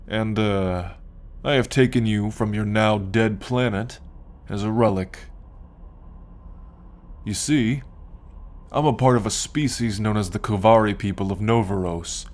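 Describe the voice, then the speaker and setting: A man playing a smug, possessive alien captor in an ASMR roleplay, speaking in a condescending, taunting and menacing drawn-out way. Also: on the low side, a little mellow, natural voice. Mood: smug, explanatory. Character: smug, possessive male alien abductor and collector. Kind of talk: ASMR roleplay, slow dramatic monologue.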